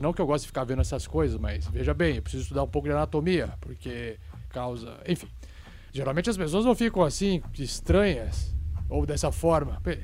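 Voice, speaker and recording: Male Brazilian RPG player, slightly high pitch, rambling casual talk, faint noise behind. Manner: rambling, colloquial. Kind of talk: casual in-character game chat.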